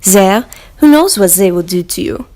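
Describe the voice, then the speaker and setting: A woman playing a stern, formal interrogator with a measured, resolute delivery in a dramatic monologue. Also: medium pitch, expressive, mellow, close to an everyday voice. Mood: grim resolve. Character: stern, anguished interrogator pursuing a captured thief.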